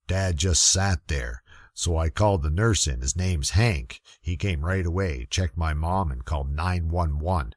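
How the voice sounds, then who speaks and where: A man playing a shaken grown son in a radio murder mystery, halting and uneasy. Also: sits low, no room sound, clean studio sound. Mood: subdued. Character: shaken, hesitant grown son of elderly parents. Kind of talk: old-time radio detective acting.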